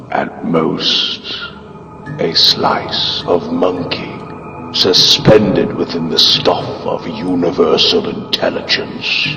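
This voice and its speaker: A man's voice: low-pitched, gravelly, full character voice. A man voicing a vast inhuman fungal god that pronounces solemnly and with contempt on humanity, in a motion comic. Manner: slow, solemn, deliberate pronouncements. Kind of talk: dramatic comic narration. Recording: cavernous reverb, distant, faint music behind.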